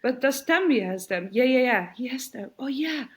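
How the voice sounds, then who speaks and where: A woman voicing a curious, chatty little squirrel puppet for a child. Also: normal speed. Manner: high, bouncy and excited questions.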